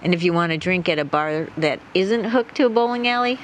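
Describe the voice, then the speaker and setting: A woman's voice: fairly low pitch, lively intonation, a little fast, background noise, lightly acted. A woman playing a bored, middle-aged small-town local, offhand and dryly resigned in a documentary-style interview. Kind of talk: audio drama mock-documentary interview.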